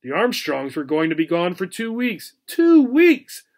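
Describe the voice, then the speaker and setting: A man reading a children's book aloud as a teacher, in an expressive storybook narration style. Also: on the high side, moderate pace.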